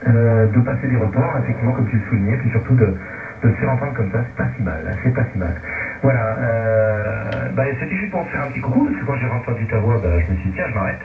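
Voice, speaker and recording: A man's voice: medium pitch, animated, fast, roomy sound, recorded from a distance, phone-quality sound, a little hiss or hum. A man who is an amateur radio operator, chatting casually and giving signal reports over shortwave.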